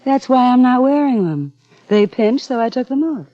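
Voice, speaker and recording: A woman's voice: sits high, animated, moderate pace, no echo, faint music behind. A woman playing a flirtatious, breezy, evasive woman in a 1950s radio crime drama, casual and coy. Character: flirtatious, breezy, cool woman dodging police questions. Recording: muffled old radio broadcast recording.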